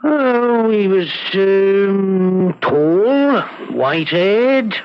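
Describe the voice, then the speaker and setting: An actor playing a simple, chatty village tavern companion in an old radio drama, with a rustic, excitable, colloquial way of talking. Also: male voice, high-pitched, very slow, full character voice. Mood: chatty, impressed. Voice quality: creaky, husky. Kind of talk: old-fashioned radio drama character acting. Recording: dull lo-fi radio drama recording.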